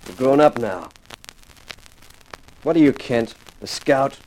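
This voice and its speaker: Tough male gunman, medium pitch, sneering, slangy, hard-boiled, 1950s radio crime drama. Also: lively intonation, slow pace, darkish tone, low-quality recording. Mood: menacing, scornful.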